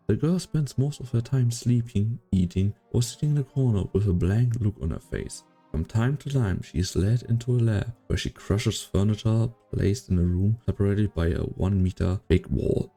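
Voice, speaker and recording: A man playing a grave, brooding storyteller, narrating a dramatic fan dub in a gravelly, husky voice. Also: fairly low pitch, lively intonation, moderate pace, few pauses, warm tone, soft background music.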